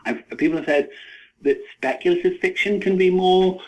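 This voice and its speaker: Husky, halting man. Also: high voice, low-quality recording. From Scotland. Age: 40s. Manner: halting, repeats words, hedges with "you know". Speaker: interview guest, novelist and screenwriter. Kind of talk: thoughtful interview conversation.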